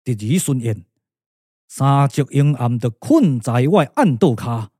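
A man voicing a grave older lord and strategist in a puppet radio drama, speaking slowly and with authority. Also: mid-range voice, lively intonation, dark tone, breathy edge, no echo, studio quality, voice pushed down. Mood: grave, commanding. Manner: slow, deliberate, authoritative.

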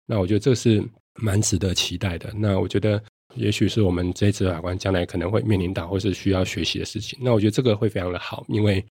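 A man's voice: sits low, fairly even intonation, quick pace, darkish tone, husky, no echo, clean studio sound. A man with a Taiwanese Mandarin accent explaining things earnestly and at length, as a guest judge in a conversational interview.

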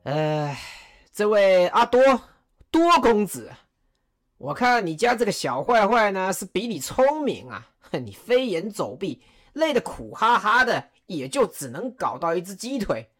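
A woman's voice: mid-range voice, sing-song, a little slow. A woman playing a jovial, teasing male warlord chancellor in an audio drama, chuckling and mock-stern.

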